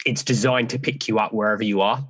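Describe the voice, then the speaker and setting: Male fitness coach guest, deeper low voice, easygoing, rambling delivery. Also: quick pace, slightly rough. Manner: relaxed, rambling with fillers like "so yeah". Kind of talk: casual interview chat.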